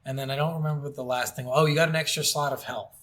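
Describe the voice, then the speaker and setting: A man with a slightly high voice talks in a loose, conversational way as a podcast co-host. He is blunt, quick to complain and swears casually as he reviews games. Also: steady tone, fairly bright tone. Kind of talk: casual chat.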